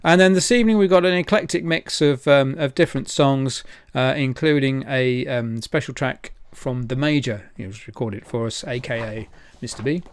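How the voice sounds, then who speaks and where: A man's voice: mid-range voice, normal speed, clear, resonant tone. A man hosting an informal livestream, chatty and hesitant as he rambles through announcements. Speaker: event host and presenter.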